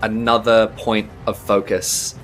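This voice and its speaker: A man's voice: fairly low pitch, level tone, measured pace, fairly bright tone, soft background music, low-quality recording. A man with a New Zealand accent playing a tabletop game, thinking aloud, trailing off and restarting, often laughing. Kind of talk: casual in-character play.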